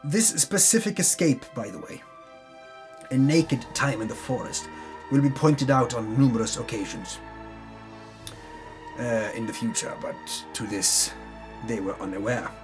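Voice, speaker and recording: A man's voice: medium pitch, a little slow, long pauses. A man giving a dramatic, mock-epic narration of a fantasy campaign recap, grandiose in delivery with dry, tongue-in-cheek asides. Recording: music under the voice. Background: background music.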